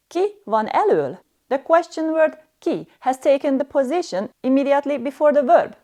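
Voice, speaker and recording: A woman's voice: high voice, darkish tone. A woman narrating a scripted language lesson as a stern, harsh and demanding teacher.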